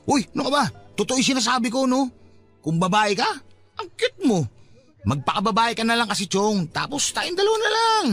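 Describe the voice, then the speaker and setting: High, animated young Filipino buddy. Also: male voice, normal speed, faint music behind, exaggerated voice. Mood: teasing. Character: teasing young man, mama's boy, smitten with tomboy buddy. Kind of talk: Filipino radio drama acting.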